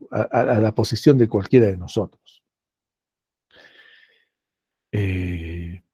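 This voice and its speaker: A male professor with a Peruvian accent lectures slowly and deliberately, circling back over phrases to drive them home. His low voice is gravelly and husky, with a mellow, dark tone. It sits dry and close, with no room sound. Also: no room sound. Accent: Peruvian Spanish. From Peru. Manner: slow, deliberate, repeats phrases for emphasis.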